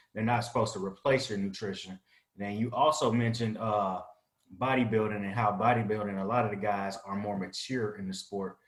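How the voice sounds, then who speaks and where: A man in his thirties hosting a podcast interview in an easygoing way, often answering with short agreements. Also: on the low side. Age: 30s. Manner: easygoing, affirming with repeated "right" and "good deal". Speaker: podcast host. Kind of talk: casual interview. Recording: echoey room.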